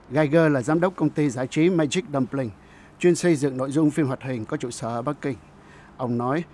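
A man's voice: fairly high pitch, darkish tone. A man narrating a scripted news report as a reporter.

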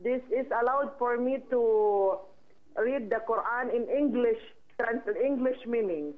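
Filipino woman calling in over a tinny phone line. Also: on the high side. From Philippines. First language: Filipino language. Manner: polite, halting, sprinkles Arabic phrases. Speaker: caller. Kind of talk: phone-in question.

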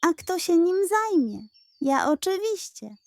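A woman puts on a scheming male pug for a bedtime storybook. The voice is pitched high and declaims grandly, full of self-importance and greedy drama. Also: sing-song, takes it slowly, darkish tone, dry recording, heavily acted. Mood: proud, scheming. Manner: pompous, theatrical declaiming. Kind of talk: children's bedtime audio story.

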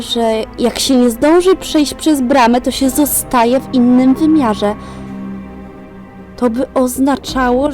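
A young woman acting an eager, hopeful daughter in an amateur radio drama, excitable and questioning. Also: high-pitched. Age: young adult.

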